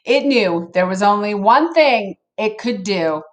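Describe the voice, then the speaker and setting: A woman reading a picture book aloud to children in an expressive, playful way, with casual asides to her listeners. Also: medium pitch, unhurried. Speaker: storybook reader for children.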